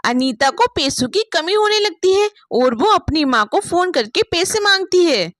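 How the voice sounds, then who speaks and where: Female storyteller, very high pitch, scripted moral-tale narration, dry close sound. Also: very animated, measured pace, a bit bright, dry recording.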